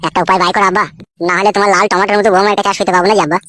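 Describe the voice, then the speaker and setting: Man doing a fast, cheeky cartoon village husband. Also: high voice, flat delivery, bright, forceful tone, no room sound, clean studio sound. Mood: flustered. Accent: rural West Bengal. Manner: brash, colloquial. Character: cheeky, foul-mouthed, henpecked village husband. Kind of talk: comedy cartoon dubbing.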